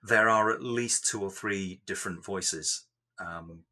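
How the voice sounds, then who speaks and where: Male tech interviewee, deep register with a forward, bright edge, explaining carefully and in measured phrases. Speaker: software developer being interviewed.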